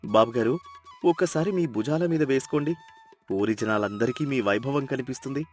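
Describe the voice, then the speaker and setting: A man's voice: medium pitch, normal speed, a little mellow, soft background music, natural voice. A man voicing a wily young peasant son who talks in a coaxing, deferential way in a moral story. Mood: flattering.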